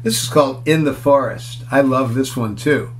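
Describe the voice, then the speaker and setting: A man in his sixties reads a picture book aloud at a middling pitch. He explains things simply, as if to small children, and slips in casual asides. Some background noise sits behind him in the room. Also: normal speed. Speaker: children's storybook reader. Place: small furnished room indoors.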